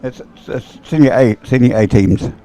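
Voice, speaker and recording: A man giving live, conversational race commentary in a halting way, repeating words as he goes. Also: medium pitch, dark tone. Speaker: sports commentator.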